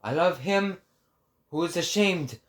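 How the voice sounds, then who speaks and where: Guy doing a solemn impassioned prophet, dramatic reading, super slow and emphatic, slightly high voice. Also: lightly acted. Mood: exalted, solemn. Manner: declamatory, slow, emphatic, deliberate phrasing. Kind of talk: dramatic reading of philosophical prose.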